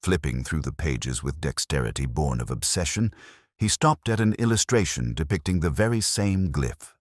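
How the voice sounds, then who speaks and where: A man narrating a scripted story in a measured, dramatic, atmospheric way. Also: a bass voice, lively intonation, no echo, clean studio sound. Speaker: audiobook narrator.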